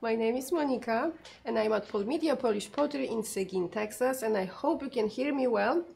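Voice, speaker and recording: A woman in her thirties with a raspy voice, hosting a live shopping broadcast from a pottery shop in a chatty, friendly way with many fillers. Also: fairly high pitch, brisk, darkish tone, slight background noise. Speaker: live sale host. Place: pottery shop with shelves of ceramics.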